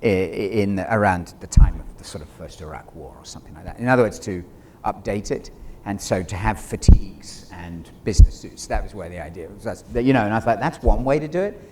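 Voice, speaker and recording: A man's voice: lowish voice, fairly quick. A middle-aged man speaking as a theater director on an informal panel, digressive and acting out imagined quotes. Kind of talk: animated informal panel discussion. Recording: noisy live room ambience.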